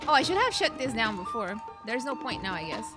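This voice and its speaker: A woman's voice: a little high, very animated, a little fast, loud music behind the voice. A woman giving casual gameplay commentary, thinking aloud as she plays. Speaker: let's play streamer.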